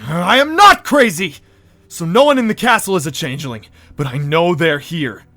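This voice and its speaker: A man's voice: on the high side, lively intonation, moderate pace, bright, forceful tone. A man playing a frantic, hot-headed pony prince in a cartoon audio drama, agitated, defensive and insistent.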